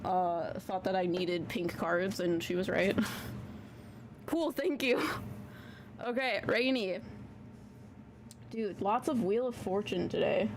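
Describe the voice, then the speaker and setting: A woman hosting a tarot live stream from her basement, talking in a chatty, slangy way with a small, soft voice and some casual swearing. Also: mid-range voice, noisy background. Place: home basement.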